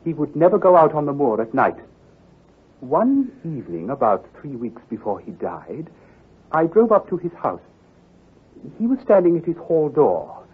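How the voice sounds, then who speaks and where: An actor playing an earnest, troubled country doctor who speaks gravely and precisely, with some hesitation, in old-time radio drama style. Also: male voice, on the high side, wildly swooping pitch, moderate pace, full character voice. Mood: troubled, recounting. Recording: muffled, husky old radio recording.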